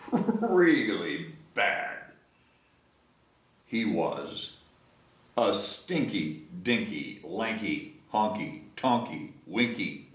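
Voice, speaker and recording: Very animated man reading to children, echoey room. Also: mid-range voice. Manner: playful, coaxing, invites listeners to join in. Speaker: story time reader, host. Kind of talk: animated picture-book read-aloud to children. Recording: echoey room, distant microphone.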